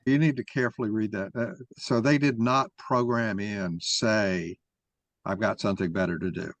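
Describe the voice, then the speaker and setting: A man's voice: lowish voice, fairly even intonation, normal speed, no echo. A man moderating a mock trial discussion and arguing his own view as he goes. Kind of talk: argumentative discussion.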